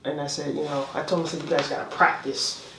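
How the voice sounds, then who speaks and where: A woman's voice: deep voice, level tone, fast talker, gravelly, echoey room, recorded from a distance, background noise. A woman hosting a casual conversation, friendly and laughing as she asks questions. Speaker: host.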